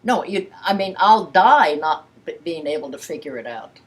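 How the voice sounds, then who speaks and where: Frank older woman in her eighties, lowish voice. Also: animated, normal speed. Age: 80s. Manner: blunt, playful, emphatic. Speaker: sex educator giving private sessions. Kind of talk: casual frank conversation.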